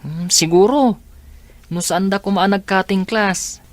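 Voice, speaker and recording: A woman playing a troubled teenage high school girl in a radio drama, talking in a soft, hesitant way. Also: measured pace, no room sound, close to an everyday voice. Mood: earnest. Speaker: lead character. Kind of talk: Ilocano radio drama acting.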